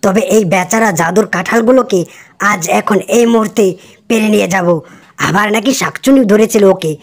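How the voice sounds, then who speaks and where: A woman's voice: mid-range voice, normal speed, natural voice. A woman voicing a greedy, stingy tigress who scolds and bosses others around. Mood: scheming. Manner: scolding, coarse and bossy.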